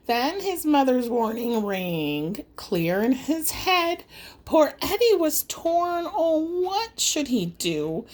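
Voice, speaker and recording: A woman narrates a rhyming story for children. Her voice is high and highly animated, taken at an unhurried pace. The delivery is dramatic and singsong, like an audiobook reader.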